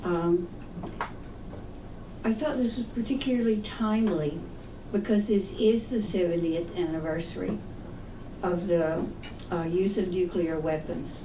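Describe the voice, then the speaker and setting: A woman in her seventies giving a talk at a meeting, speaking softly and with hesitant restarts. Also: lowish voice, unhurried. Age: 70s. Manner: soft-spoken, hesitant restarts. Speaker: guest speaker. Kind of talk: public talk. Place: meeting room. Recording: echoey room, far from the mic, noisy.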